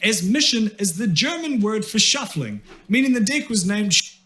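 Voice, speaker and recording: A man's voice: high-pitched, big pitch swings, normal speed, bright, forceful tone. A man with a New Zealand accent reading scripted comedic narration in a wry, tongue-in-cheek way. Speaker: video essayist.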